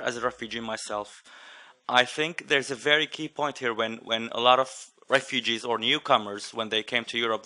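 A young man from Syria, an architect and urban planner, speaking fluently and steadily at a conference desk microphone. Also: medium pitch. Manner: fluent, steady, hands moving as he talks. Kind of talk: conference floor comment. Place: large conference room.

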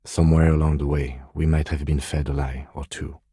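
Middle-aged police lieutenant, video game dialogue, husky, measured, understated, dry wit, deep voice, close dry sound. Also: male voice, flat delivery, warm tone, no room sound, full character voice. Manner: measured, understated, dryly wry.

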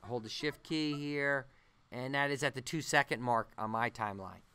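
A man giving an unscripted software tutorial, thinking aloud haltingly as he works through each step. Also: mid-range voice, clean tone. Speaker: animation tutorial instructor. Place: voice-over on a screen-recording tutorial.

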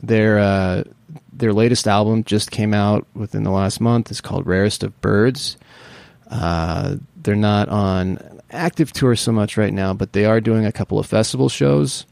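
A man's voice: fairly low pitch, fairly even intonation, measured pace, creaky, a little mellow, no room sound. A man hosting a podcast, talking casually with lots of fillers and friendly questions. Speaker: podcast host. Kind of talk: casual interview and spoken intro.

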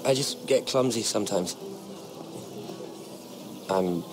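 A man's voice: on the low side, slow pace, background noise. An actor playing a nervous, awkward nobleman in a fantasy game, hesitant, apologetic and self-deprecating. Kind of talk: dramatic fantasy game acting.

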